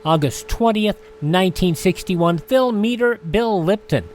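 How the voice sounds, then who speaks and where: Animated male radio host. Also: high voice, a little slow, a little hiss or hum. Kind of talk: radio birthday roundup and show announcing.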